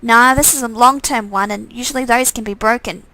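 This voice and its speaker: Easygoing visual novel young man, voiced by a woman, offhand, hedging delivery, bright tone, dry sound. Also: fairly high pitch, a little fast, dry recording, poor audio. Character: easygoing young man, casual supernatural know-it-some.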